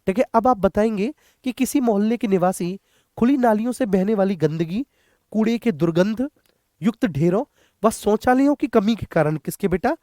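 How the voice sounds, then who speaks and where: A man's voice: high voice, moderate pace, warm tone, no room sound, studio quality. A man teaching an exam prep lesson, addressing students directly, repeating key points and mixing in English terms. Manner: repetitive, instructive, addressing students directly with check-in phrases. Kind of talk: question-and-answer exam lesson.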